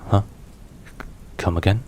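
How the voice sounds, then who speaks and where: A man playing a brooding but tender mafia boss boyfriend in an intimate ASMR roleplay, murmuring haltingly with hushed pauses. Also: a little hiss or hum, natural voice. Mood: stunned, unsure. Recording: rain and thunder behind voice. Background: rain and thunderstorm ambience.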